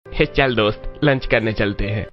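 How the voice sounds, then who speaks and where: A man's voice: lowish voice, moderate pace, lightly acted. Fields: Figure: a man voicing a cheerful office friend. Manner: easygoing. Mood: friendly. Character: cheerful young male office friend, generous. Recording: dull lo-fi with faint music.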